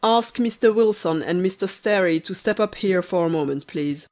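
A woman voicing a polite, fussy, easily astonished office gentleman in a storybook reading. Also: lowish voice, moderate pace, close to an everyday voice. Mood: politely eager. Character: nice, flustered, courteous gentleman office official.

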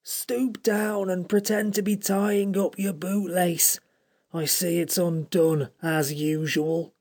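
A man voicing a small, sad magical creature that speaks softly, pleading and close to tears. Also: high voice, level tone, takes it slowly, pitched above the performer's own voice, full character voice.

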